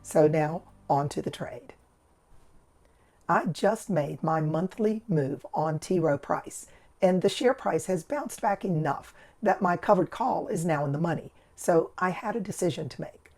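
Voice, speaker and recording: Hoarse, scratchy-voiced woman explaining trades. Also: on the low side, measured pace. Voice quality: rough, scratchy voice. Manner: methodical, steady explaining of trade steps and figures. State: hoarse from recovering laryngitis. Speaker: financial educator and channel host. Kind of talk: screen-recorded investing walkthrough.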